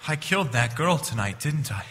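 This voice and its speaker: An actor playing a tormented, guilt-ridden young man, melodramatic and halting, in 1940s radio drama style. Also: mid-range voice, lively intonation, bright, forceful tone. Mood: anguished. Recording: live stage, long hall reverb.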